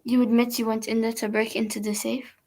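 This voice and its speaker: A woman's voice: on the high side, level tone, brisk, warm tone. An actress playing a formal, probing female prefect questioning a witness in a radio drama, controlled and inquisitive.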